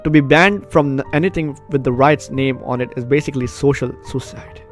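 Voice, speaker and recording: A man's voice: medium pitch, fairly quick. A man hosting a casual let's play, chatting and reading a story game's text aloud. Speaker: gaming video host. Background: game music.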